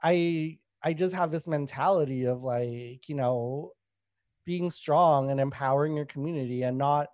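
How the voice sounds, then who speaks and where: A fairly high-voiced man in his thirties from Canada, telling stories openly with easy laughs and plenty of swearing. Very dry, close sound with no room around him. He talks loosely, packing his lines with fillers like a guest in a relaxed podcast chat. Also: a little slow, dry recording. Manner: candid, laughing, swears freely, many fillers. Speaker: guest comedian.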